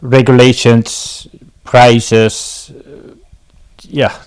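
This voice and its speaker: Casting: middle-aged male interview guest, Belgian accent, medium pitch, conversational delivery. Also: level tone, very slow, clear, resonant tone. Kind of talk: casual interview answers.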